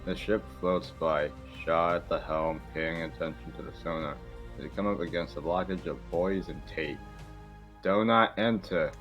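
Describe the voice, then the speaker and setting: A man with a very low voice acts as narrator for a table read. He reads out stage directions. Faint music plays underneath. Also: moderate pace, highs cut off. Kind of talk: reading stage directions aloud.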